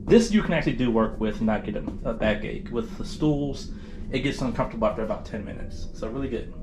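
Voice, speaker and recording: A man's voice: mid-range voice, expressive, fast talker, music in the background, low-quality recording. A tired man giving a casual, informal tour as a travel vlogger, addressing viewers in a folksy way. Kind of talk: casual cruise ship tour commentary.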